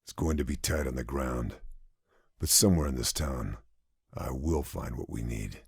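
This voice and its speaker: A man voicing a calm, methodical wartime sniper in a video game cutscene, speaking in low, clipped, measured tones. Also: breathy edge, no echo, studio quality, full character voice. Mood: calm, planning. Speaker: sniper protagonist.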